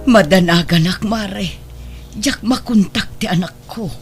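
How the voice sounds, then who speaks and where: A woman's voice: medium pitch, a little slow, faint music behind, close to an everyday voice. A woman acting in a radio drama as a worried, middle-aged mother who moralizes to her married daughter. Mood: anxious, apologetic.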